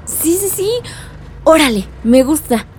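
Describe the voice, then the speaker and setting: An actress playing an eager young Mexican graduate with big plans in a radio soap, lively and friendly. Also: female voice, high voice, slow pace, slight background noise. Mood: enthusiastic. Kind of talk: radio soap opera dialogue.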